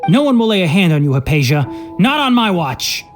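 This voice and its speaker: A man's voice: high-pitched, big pitch swings, a little fast. An actor playing a protective young male guardian in a video game, resolute and firm. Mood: defiant. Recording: game music behind the voice.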